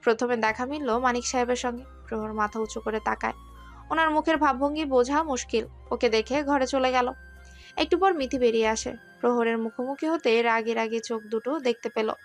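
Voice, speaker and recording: A woman's voice: on the high side, fairly even intonation, brisk, soft background music. A woman narrating a scripted romantic story.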